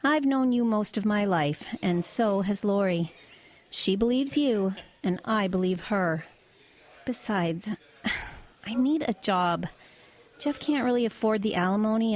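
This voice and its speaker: A woman's voice: mid-range voice, lively intonation, measured pace, a little mellow, natural voice. A woman playing a no-nonsense divorced truck driver in an audio drama, speaking in a direct, dry, conversational way. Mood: frank, persuasive. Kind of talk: audio drama acting and first-person narration.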